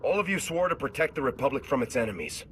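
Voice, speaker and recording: An actor playing a loyal, idealistic soldier in a video game, earnest and defiant. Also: male voice, medium pitch, animated, fast, a bit bright, a little hiss or hum, lightly acted. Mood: indignant. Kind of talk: video game cutscene acting.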